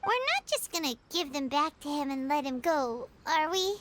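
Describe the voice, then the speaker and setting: A woman voicing a tiny, chatty and excitable floating companion in an anime-style game, speaking with a bouncy delivery. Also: normal speed, a loud crowd. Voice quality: high, bright, bouncy delivery. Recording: game audio under music.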